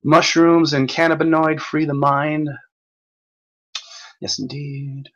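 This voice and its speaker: A man hosting a livestream, ranting in a profane, stumbling, repetitive way while reading comments, his voice going hoarse. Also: a little high, flat delivery, slow, lo-fi sound. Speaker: livestream host. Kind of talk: rambling livestream rant.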